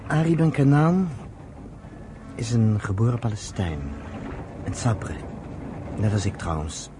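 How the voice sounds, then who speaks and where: Clear background noise surrounds a man in an old radio play. His voice is on the low side, friendly and earnest, explaining with patient thoughtfulness. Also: big pitch swings, a little slow. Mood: earnest, narrating. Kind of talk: Dutch radio play acting.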